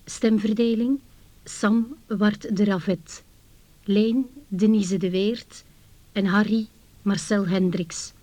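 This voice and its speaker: A woman's voice: medium pitch, steady tone, takes it slowly, mellow, no echo, rough recording. A woman reading out credits as a radio announcer in a scripted, formal way. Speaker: announcer reading the cast list.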